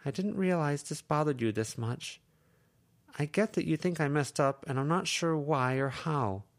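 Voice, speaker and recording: Verona, as a man puts her on, a soft-spoken teenage girl in a storybook reading, pitched well above a man's range. She talks quietly and flatly, with a faintly flippant, innocent air. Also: moderate pace, natural voice. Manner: quiet, flat, mildly flippant.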